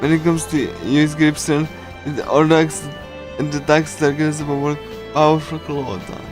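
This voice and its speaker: Male streamer, slightly higher-pitched, casual halting read-aloud of a visual novel, light music behind. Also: level tone. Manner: halting, pieced-together delivery. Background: game music.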